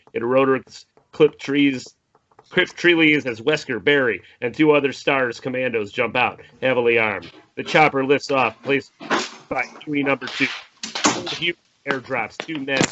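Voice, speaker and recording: A man's voice: medium pitch, normal speed. A man narrating the stage directions of a screenplay in an informal table read. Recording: dull lo-fi audio with faint noise.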